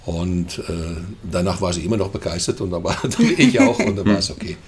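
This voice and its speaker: An older man from the Netherlands with a Dutch accent, talking hesitantly with many fillers and throat clearing as an interview guest. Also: deep voice, fairly quick, over a noisy crowd. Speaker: guest, festival co-manager. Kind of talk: casual interview chat.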